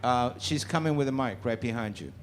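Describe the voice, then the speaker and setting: A man's voice: medium pitch, lively intonation, fairly quick, slight background noise. A man moderating a panel on an outdoor festival stage, talking in a rambling, emphatic, opinionated way. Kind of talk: panel discussion.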